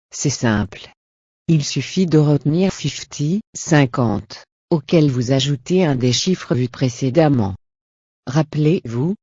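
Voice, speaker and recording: A man narrates an instructional lesson from a script. His voice is mellow and a little high for a man, with an encouraging, teacherly manner. The recording is very dry and slightly dull. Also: no room sound. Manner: encouraging, didactic. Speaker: language lesson presenter.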